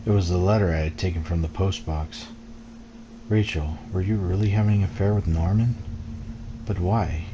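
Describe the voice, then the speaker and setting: A man with a deep voice reads a first-person game story aloud. His delivery is measured and brooding, like dramatic narration. There is clear noise and game ambience behind him. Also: steady tone. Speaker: let's play gamer reading game text aloud.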